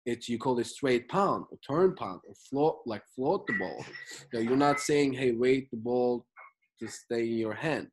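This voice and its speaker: A man's voice: medium pitch, animated, unhurried, background noise. A man from Turkey with a Turkish accent, speaking English as a second language, chatting animatedly as a basketball trainer asking questions. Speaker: basketball skills trainer, interviewer. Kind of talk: casual conversation.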